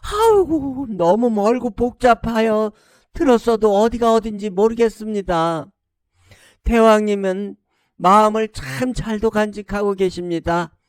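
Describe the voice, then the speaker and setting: Man putting on a high, coaxing fairy-tale princess. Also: unhurried, no room sound. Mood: feigned confusion, flattering. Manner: deferential, wheedling, honorific speech. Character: polite, coaxing, crafty young princess.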